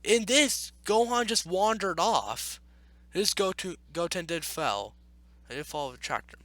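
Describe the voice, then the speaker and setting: A man with a high, bright voice that swings up and down a lot. He reads and reacts casually, arguing back in an exasperated tone. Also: lively intonation, measured pace, no echo. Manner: exasperated, argumentative. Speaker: YouTube commentator. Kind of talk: casual reaction commentary while reading.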